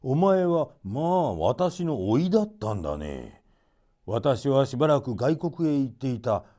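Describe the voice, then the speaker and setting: Man acting as old wizard, storybook villain, slow, coaxing, falsely kind, mellow, widely varied pitch. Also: big pitch swings. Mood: falsely affectionate. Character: tall old African sorcerer, falsely kind and scheming.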